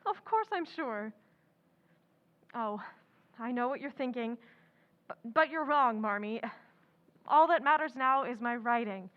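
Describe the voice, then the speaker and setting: A woman's voice: a little high, lively intonation, a little slow, pauses often, phone-quality sound. An actress playing a passionate, headstrong young woman writer in an old-time radio drama, earnest and emphatic. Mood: brisk, insistent.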